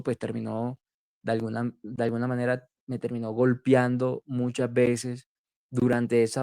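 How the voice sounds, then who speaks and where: A man's voice: mid-range voice, creaky, darkish tone, no echo, clean studio sound. A man sharing his personal faith testimony in an interview, hesitant and full of fillers and restarts.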